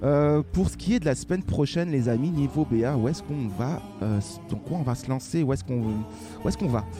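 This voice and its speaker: A man's voice: mid-range voice, fairly quick, over music. Joking podcast host, man. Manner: joking, laughs often. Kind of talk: casual chat.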